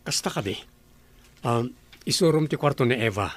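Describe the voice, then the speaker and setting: A man's voice: mid-range voice, moderate pace, close to an everyday voice. A man voicing a polite ranch owner who hires a nurse for his ranch. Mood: courteous. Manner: calm, courteous. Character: courteous wealthy ranch owner.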